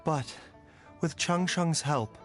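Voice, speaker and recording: A man playing a learned, composed doctor in a video game, speaking in a measured, formal, explanatory way. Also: a little high. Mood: reassuring, composed. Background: music.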